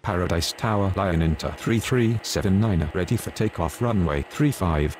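Guy doing a very low voiced airport radio operator in a sim game, clipped and procedural, bit of noise behind. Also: moderate pace, darkish tone, close to an everyday voice. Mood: businesslike. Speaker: pilot and air traffic controller radio voice. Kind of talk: scripted aviation radio phraseology.

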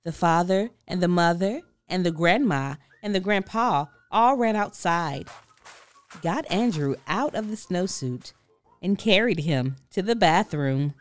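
A woman reading a children's story aloud expressively, with theatrical, deliberate emphasis. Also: lowish voice, expressive, dry recording, soft background music. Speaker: storybook reader.

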